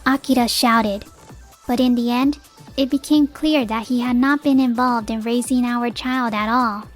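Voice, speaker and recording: A woman's voice: a little high, fairly even intonation, moderate pace, natural voice. A resolute young mother played by a woman narrates her story in earnest, dramatic manga dub style. She sounds like a wronged wife holding firm, with faint music underneath. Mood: matter-of-fact. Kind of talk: scripted first-person narration, manga dub.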